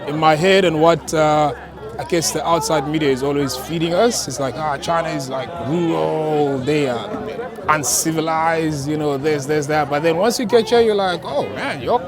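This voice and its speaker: A man's voice: fairly high pitch, normal speed. A man answering casually and informally in a street interview. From South Africa. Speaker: interviewee, foreign resident. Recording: outdoor street with crowd chatter. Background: urban crowd.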